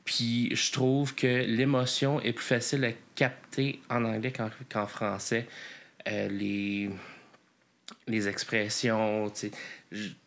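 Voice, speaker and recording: Thoughtful man, bright voice. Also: lowish voice, level tone. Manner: thoughtful, halting explanations. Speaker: band member guest. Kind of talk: casual interview answers.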